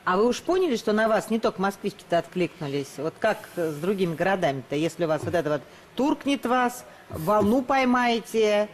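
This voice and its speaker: A woman's voice: mid-range voice, lively intonation, brisk. A woman co-hosting a TV dating show, asking colloquial, rambling questions. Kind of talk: studio TV chat.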